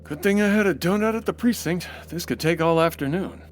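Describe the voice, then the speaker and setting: An actor playing a gruff but game homicide detective in a comic 1940s-style radio drama, with a dry tough-cop delivery. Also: male voice, high voice, a little fast, a little hiss or hum, natural voice.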